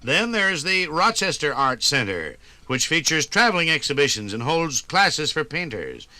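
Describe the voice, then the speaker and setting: A man narrating a scripted 1950s radio documentary in a measured, formal announcer style. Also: a little high, sing-song, sharp tone, dry recording. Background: music.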